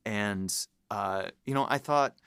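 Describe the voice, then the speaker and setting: Male writer-host, mid-range pitch, smooth clear tone, dry close sound, chatty and animated with fillers. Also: slow pace, dry recording. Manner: animated, lots of fillers, laughs while explaining. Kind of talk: casual behind-the-scenes chat.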